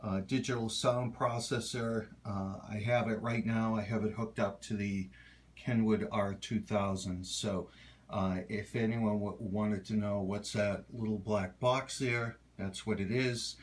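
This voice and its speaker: A middle-aged man giving a casual, halting home tour of his hobby gear in a rambling, unhurried way. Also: lowish voice, steady tone. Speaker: hobbyist showing his radio collection. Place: home kitchen.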